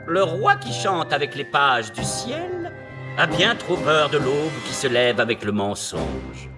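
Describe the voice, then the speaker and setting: A man voicing an old bearded scholar who mumbles slow nonsense under a spell. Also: fairly high pitch, sing-song. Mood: muddled. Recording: over loud music.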